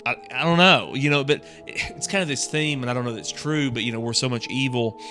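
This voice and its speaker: A man's voice: mid-range voice, brisk, pushed and bright, soft background music. A man co-hosting a podcast, thinking aloud in a tentative, casual way as he discusses a horror story. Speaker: podcast co-host.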